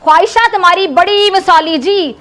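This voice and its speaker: A woman with an extremely high voice. She delivers an indignant, scolding political monologue built on rhetorical questions. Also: normal speed, fairly bright tone.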